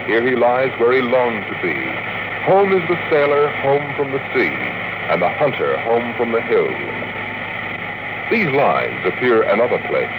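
A man's voice: medium pitch, very animated, unhurried. A man announcing and narrating in a dramatic, scripted 1950s radio style. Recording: tinny, very noisy old radio broadcast.

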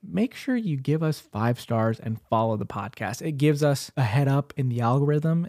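A man's voice: a little high, darkish tone, no echo, clean studio sound. A young man co-hosting a casual podcast, upbeat and chatty, restarting his sentences often. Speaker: podcast co-host.

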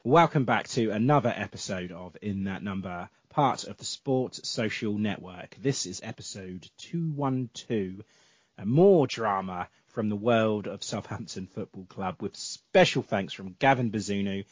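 A man's voice: on the low side, a little slow, dry recording, highs cut off. A man hosting a casual football podcast, chatty and full of fillers, with a sore throat and cough. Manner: chatty, filler-heavy, self-correcting. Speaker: podcast host.

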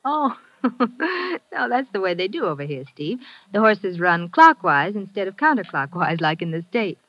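A woman's voice: medium pitch, very animated, a little slow, no room sound, muffled recording. An actress playing a playful, coaxing young woman in an old radio drama. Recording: faintly noisy old radio broadcast.

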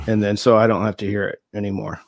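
A man's voice: fairly low pitch, little pitch movement, quick pace, vocal fry, no room sound. A man in his forties talks in a loose, joking way, poking fun at himself as he spins stories. The recording is close and dry, with no room sound. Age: 40s. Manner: jokey, self-deprecating storyteller. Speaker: youtube channel host, guest. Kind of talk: casual chat.

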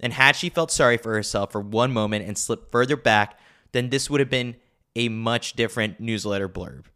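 A man's voice: mid-range voice, moderate pace, pushed and bright. Wry man reading a scripted sports recap. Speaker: podcast host reading a sports newsletter. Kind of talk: scripted narration.

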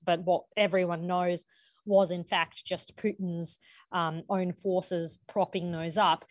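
A woman from Ukraine giving an articulate, measured explanatory answer as an interviewed analyst, stressing her key points. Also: medium pitch, no room sound. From born in Ukraine.

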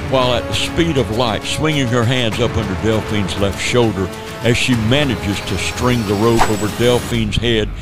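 Guy narrating a spooky radio play, lowish voice, music going underneath. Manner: dramatic storytelling.

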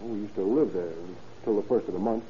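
A man's voice: lowish voice, quick pace, dark tone, lightly acted. An actor playing an evasive, easily agitated young American man under suspicion in an old-time radio crime drama, deferential but defensive. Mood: guarded. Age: young adult. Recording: muffled, noisy vintage radio recording.

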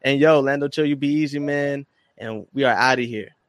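A man's voice: mid-range voice, brisk, no room sound, clean studio sound. A male podcast host on a call, casual and effusive, full of praise as he wraps up an interview and plugs his show. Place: remote video call.

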